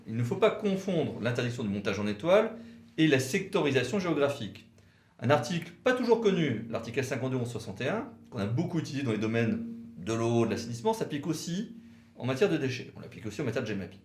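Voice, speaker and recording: A man giving a didactic, precise legal explanation in short, measured phrases. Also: mid-range voice, sing-song, a little mellow, a little hiss or hum. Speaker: legal commentator for a law firm, co-presenter. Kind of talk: explanatory legal lecture, semi-scripted. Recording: roomy, echoing sound.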